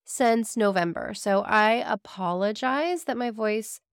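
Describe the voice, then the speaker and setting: Hoarse, gentle woman podcast host. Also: mid-range voice, takes it slowly, no room sound, studio quality. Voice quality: raspy, weak voice. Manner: earnest, gently reassuring, addresses listeners fondly. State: sick, hoarse. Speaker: podcast host and psychic medium. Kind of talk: casual spiritual podcast monologue.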